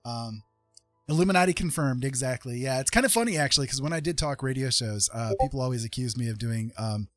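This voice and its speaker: A man hosts a casual livestream at an average pitch. He chats with viewers and thanks them effusively. Music plays behind his voice. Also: lively intonation, quick pace, no room sound. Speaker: livestream host.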